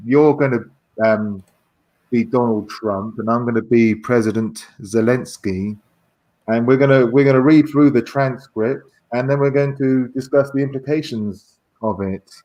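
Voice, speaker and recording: A man as a guest in a casual chat, explaining haltingly and stammering as he searches for words. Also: mid-range voice, darkish tone, rough recording.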